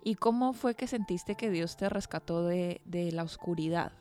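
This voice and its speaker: Woman radio host doing an interview, mellow relaxed voice, a bit low, faint music. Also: normal speed. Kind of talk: interview with scripted introduction.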